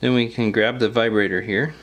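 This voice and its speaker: A man with a fairly low voice walks through a hands-on repair tutorial. He is informal and plain-spoken, explaining each step casually and often repeating his phrasing. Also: steady tone, fairly quick, fairly bright tone.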